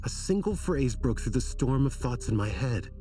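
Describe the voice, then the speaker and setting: An actor playing an earnest, troubled and apologetic desert scholar in a video game, wordy and theatrical in manner. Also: male voice, mid-range voice, big pitch swings, normal speed, warm tone, recorded from a distance, slight background noise, lightly acted. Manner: wordy, self-conscious, theatrical.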